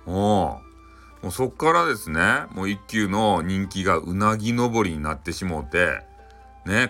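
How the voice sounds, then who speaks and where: A man with a Hakata accent narrating a bedtime folktale in a casual, chatty, animated way. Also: low voice. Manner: chatty, animated, frequent sentence-ending fillers. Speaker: storyteller.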